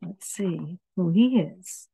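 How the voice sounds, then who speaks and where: A woman reading children's picture books aloud in an expressive way, adding asides about the pictures. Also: on the low side, slow, vocal fry, breathy edge, dry recording, rough recording. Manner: expressive, acts out words and dialogue.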